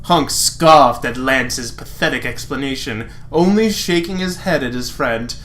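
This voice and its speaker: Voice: medium pitch, measured pace, a bit bright. A sarcastic, exasperated reader narrating fan fiction dramatically and breaking off for mocking asides.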